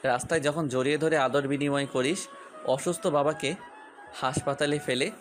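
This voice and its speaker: Man acting a high-voiced, menacing possessive young fiancé. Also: fairly even intonation, normal speed, faint music behind, lightly acted. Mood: angry, accusing. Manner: taunting, commanding, accusatory. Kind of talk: Bengali romantic audio story acting.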